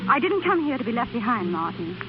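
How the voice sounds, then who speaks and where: An actress playing a devoted, anxious and stubborn wife, earnest and emotional in 1930s radio style. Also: female voice, on the high side, sing-song, fast talker. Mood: pleading. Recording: muffled 1930s radio recording with music.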